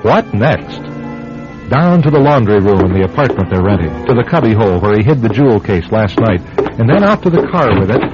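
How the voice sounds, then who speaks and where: A wry storyteller narrating a classic radio comedy. His delivery is very lively, over prominent music in a dull old recording. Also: on the low side, a little fast.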